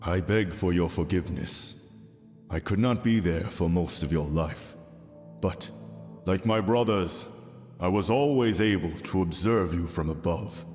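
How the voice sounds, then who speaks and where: A deep-voiced, fatherly old king of the gods played by a man addresses the listener in an audio roleplay. He speaks slowly and formally, with stately benevolence. His voice rings through a long reverberant hall over music. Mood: gentle, fatherly. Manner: formal, stately, measured delivery. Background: soft background music.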